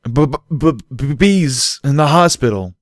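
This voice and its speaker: A man's voice: mid-range voice, lively intonation, slow pace, dry recording, studio quality, pitched above the performer's own voice. A man voicing a panicked male storybook pony who stammers heavily after a nightmare. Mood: terrified. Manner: heavy stammer on first sounds.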